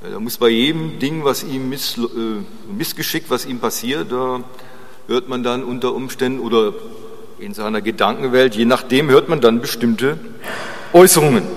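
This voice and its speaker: A man preaching informally and chattily, in a halting way with pauses, repeated phrases and short tag questions. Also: mid-range voice. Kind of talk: informal sermon with digressions. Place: large reverberant room at a church service. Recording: long reverb of a large hall.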